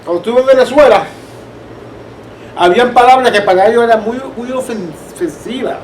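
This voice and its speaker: A man's voice: extremely high pitch, brisk. A man with a Caribbean Spanish accent teaching an informal church Bible class, telling anecdotes conversationally and often checking that listeners follow. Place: church room. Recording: distant roomy mic, background noise.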